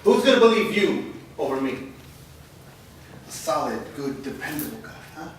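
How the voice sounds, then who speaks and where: A man's voice: on the high side, sing-song, moderate pace, a little hiss or hum. A smug, menacing stepfather, as a man reads him. He is heard from afar in a strongly echoing room. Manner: smug, threatening. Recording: roomy echo, far from mic.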